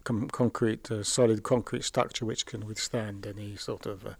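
A man living on a border community, calmly explaining daily life with measured, hesitant phrasing. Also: on the low side, creaky, husky, no room sound. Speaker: kibbutz resident. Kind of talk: casual interview answers.

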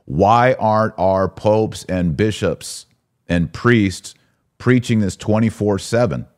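Male podcast host, low-pitched voice, religious commentary, emphatic, exhorting, rhetorical questions. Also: slow pace, a little mellow. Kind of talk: religious discussion, preaching commentary.

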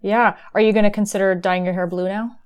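A woman's voice: medium pitch, steady tone, a little fast, clean tone, dull audio. A woman in her thirties hosting a podcast, opening the show and chatting in an upbeat, organized way. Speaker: host. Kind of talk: scripted podcast intro and casual chat.

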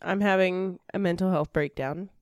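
A woman's voice: on the low side, moderate pace, no echo, clean studio sound. A woman podcast co-host casually telling a true-crime story to friends, with jokey, self-mocking banter.

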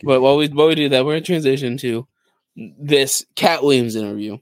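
A man's voice: medium pitch, fairly even intonation, no room sound. Guy hosting a podcast, mid voice, slangy and jokey, super dry sound. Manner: slangy, jokey, quick to laugh. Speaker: podcast host. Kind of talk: casual podcast chat.

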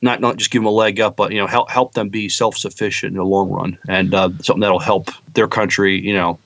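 A man co-hosting a podcast in casual chat, halting and repeating his words as he goes. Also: low voice, level tone, fairly bright tone, no echo. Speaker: podcast co-host.

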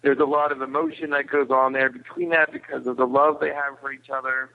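Male podcast guest, casual halting explainer of a film, fry in the voice, thin telephone sound. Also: mid-range voice, level tone. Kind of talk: casual film recap discussion. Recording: tinny phone-like line.

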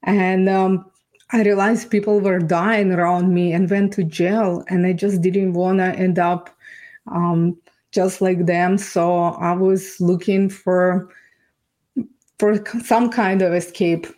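Even-toned woman with a Ukrainian accent. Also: on the low side, slow. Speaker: podcast guest. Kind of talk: personal testimony storytelling.

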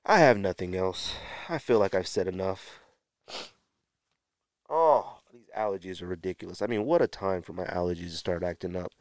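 A man's voice: sits low, normal speed, dry recording, studio quality. A man hosting a solo podcast, talking in a blunt, exasperated way with emphatic rhetorical questions, like an opinionated rant. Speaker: podcast host.

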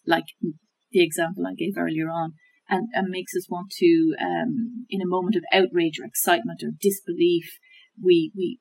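A woman with a slightly husky, fairly low voice explains things in a measured, thoughtful way. She pauses on fillers and restarts her sentences as she works through each point. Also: a little mellow, poor audio. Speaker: guest expert on media literacy. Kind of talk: conversational explanation.

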